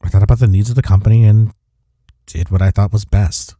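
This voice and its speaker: A man acting a deep, husky, smitten office rival. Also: fairly even intonation, brisk, dark tone, no room sound, clean studio sound, lightly acted. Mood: earnest, defensive. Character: flustered, smitten male office rival confessing attraction. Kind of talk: intimate romantic audio roleplay acting.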